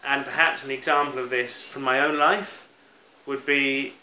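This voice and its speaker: A man's voice: medium pitch, steady tone, moderate pace, sharp tone. A British man preaching a sermon in an earnest, reflective manner, stressing key words as he goes. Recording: roomy echo, faint background noise.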